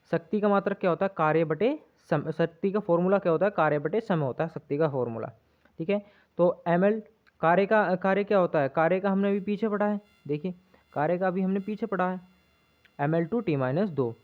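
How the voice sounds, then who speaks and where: A man giving an exam-prep lesson as a physics teacher, explaining step by step, repeating himself and checking in often. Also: fairly high pitch. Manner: repetitive, checks in often with tag questions. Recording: muffled, narrow lo-fi sound.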